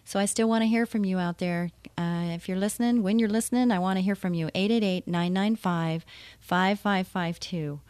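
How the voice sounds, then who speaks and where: A woman's voice: mid-range voice, steady tone, moderate pace, a little mellow, smooth, no echo. A woman hosting a call-in radio show, chatty and enthusiastic, laughing easily with her guest. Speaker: radio host.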